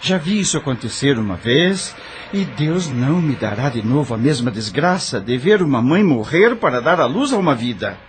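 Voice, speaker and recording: A man's voice: mid-range voice, sing-song, normal speed, slight background noise, natural voice. A man playing an earnest, resolute widowed doctor in an audio drama. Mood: urgent, reassuring.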